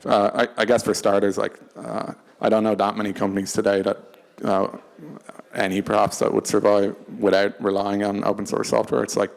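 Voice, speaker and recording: A man speaking unscripted on a discussion panel, hesitant and halting, often restarting his phrases. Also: lowish voice, flat delivery, gravelly. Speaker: panelist from a tech company.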